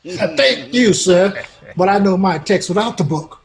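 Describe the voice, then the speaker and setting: Man acting meek devout frontier man, high voice, deferential, polite, old radio western. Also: expressive, measured pace. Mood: humble, earnest. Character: humble, deferential, devout townsman.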